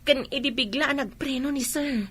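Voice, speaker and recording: A woman's voice: sits high, moderate pace, a bit bright. A woman playing a gossipy, cautioning young friend with an Ilocano Filipino accent in a radio drama. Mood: concerned, warning.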